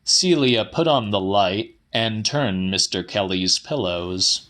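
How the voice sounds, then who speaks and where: A man reading a literary audiobook in a theatrical, deliberate way, with some drama in the narration. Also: on the low side, lively intonation, fairly bright tone. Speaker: audiobook reader.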